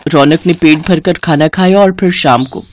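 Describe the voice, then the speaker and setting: A woman narrating a scripted moral story in an expressive storytelling manner. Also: sits low, normal speed.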